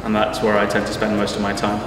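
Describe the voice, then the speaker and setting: A man's voice: fairly low pitch, monotone, quick pace, a bit bright, very echoey, big-room sound, far from the mic, slight background noise. A young man speaks in a matter-of-fact way about his experience and student life. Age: young adult. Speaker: election candidate. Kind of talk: short campaign statement to camera. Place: university TV studio.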